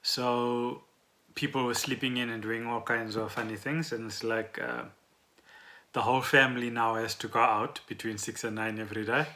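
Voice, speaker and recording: A man co-hosting a casual podcast, speaking in a halting, unscripted way. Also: medium pitch, fairly even intonation, sharp tone. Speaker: podcast co-host. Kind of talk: casual conversational podcast chat.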